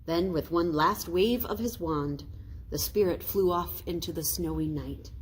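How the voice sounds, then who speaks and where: A woman pastor reading a storybook aloud in an expressive children's storytime style. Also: lowish voice, measured pace, a little hiss or hum, poor audio.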